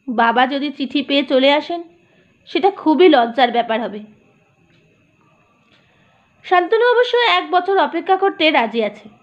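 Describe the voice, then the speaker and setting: A woman narrating a short story in a measured, gently expressive reading. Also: high voice. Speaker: audiobook narrator. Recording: dull lo-fi recording.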